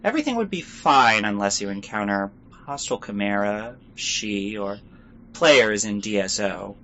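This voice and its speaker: A man playing a guarded, businesslike smuggler guide in a fantasy audio drama, brisk and transactional, on muffled audio. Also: fairly low pitch, expressive, pushed and bright. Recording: muffled audio with faint noise.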